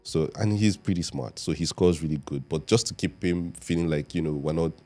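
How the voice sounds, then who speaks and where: Raspy deep-voiced Nigerian man. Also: a little fast, darkish tone. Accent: Nigerian English. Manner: storytelling, laid-back. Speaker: podcast guest. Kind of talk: casual chat.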